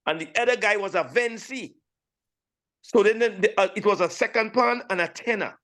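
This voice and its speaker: A man in his sixties with a St. Lucian accent, telling his story casually as an interview guest, animated and repeating phrases for emphasis. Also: sits high, moderate pace. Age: 60s. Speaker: interview guest, steel pan player.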